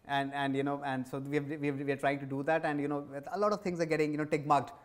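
Fast-talking Indian man, higher-pitched voice. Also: little pitch movement. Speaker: business executive being interviewed. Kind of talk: conversational interview answers. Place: indoor office lounge set. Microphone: lapel mic.

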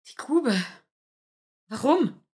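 Actress as audiobook field agent; slightly high, swooping pitch, matter-of-fact, correcting. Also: female voice, expressive, drawn-out words, dark tone, full character voice. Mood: wary. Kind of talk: audiobook character acting.

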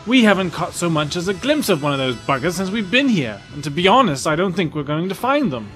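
A man as a wary, higher-voiced spaceman. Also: very animated, brisk, fairly bright tone, soft background music. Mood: insistent. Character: wary, insistent male spaceship crewman on watch. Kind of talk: sci-fi audio drama acting.

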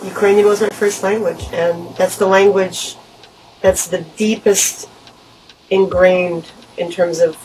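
A woman in her thirties, born in America, earnestly reflecting in an outdoor documentary interview. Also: on the low side. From United States. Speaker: interviewee, singer. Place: outdoor garden. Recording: distant outdoor mic with music behind.

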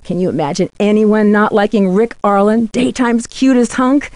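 A woman's voice: medium pitch, moderate pace, a little mellow, smooth, no echo, lightly acted. A young American woman voicing an inquisitive, teasing amateur detective in a video game, in scripted lines. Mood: chatty, intrigued. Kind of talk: scripted game dialogue and letter narration.

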